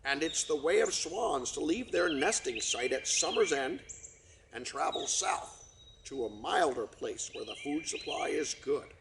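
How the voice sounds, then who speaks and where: Man reading as a storybook father swan, pompous, grand speeches, lively swooping voice, gravelly edge, noisy recording with birds behind. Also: a little high, a little slow. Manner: grand, long-winded speechmaking.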